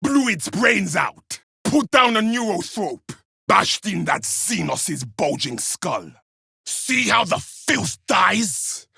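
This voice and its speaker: A man voicing a battle-hardened, contemptuous Space Marine warrior in a video game, with a raspy, gravelly voice and forceful, scornful delivery. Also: sits high, sing-song, slow, dry recording, exaggerated voice. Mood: fierce triumph. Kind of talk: combat kill barks from a video game.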